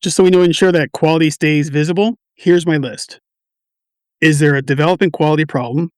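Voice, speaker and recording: A man's voice: on the high side, steady tone, fairly quick, a little mellow, smooth, no echo, clean studio sound, natural voice. A man playing a businesslike tech team member running through questions in radio play dialogue.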